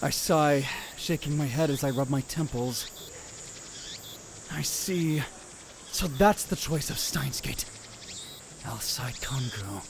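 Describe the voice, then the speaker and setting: Man voicing a hushed, theatrical young mad scientist. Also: medium pitch, slow pace, vocal fry, long pauses, a little hiss or hum. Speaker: narrator and lead in fan dub. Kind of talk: first-person visual novel narration. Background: music.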